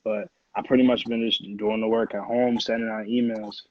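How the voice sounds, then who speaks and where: A man's voice: fairly low pitch, little pitch movement, moderate pace, a little hiss or hum. An American man from Connecticut, a music producer chatting casually as an interview guest in informal slang peppered with "like". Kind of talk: casual chat. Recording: dull, lo-fi audio.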